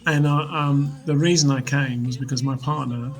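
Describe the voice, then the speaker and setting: A man in his fifties, a musician guest, reflecting on his life in relaxed, hesitant interview talk. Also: medium pitch, moderate pace, faint music behind. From United Kingdom. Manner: reflective storyteller, hesitant restarts. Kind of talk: casual interview chat.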